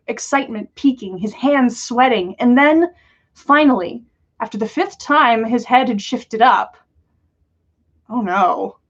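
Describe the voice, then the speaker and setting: A woman reading a story aloud on a livestream, expressively, with dramatic pauses and drawn-out words. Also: on the high side, highs cut off. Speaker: podcast host reading listener-written fan fiction.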